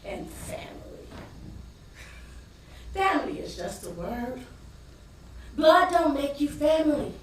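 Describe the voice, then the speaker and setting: A woman's voice: high-pitched, very animated, takes it slowly, pauses often, heavily acted. A woman on stage playing a proud, struggling wife and mother who is defiant, sarcastic and emphatic. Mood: bitter, weary. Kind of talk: theatrical stage play acting with dramatic monologue. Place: theater stage, recorded from a distance. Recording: echoey stage hall, far mic, faint noise.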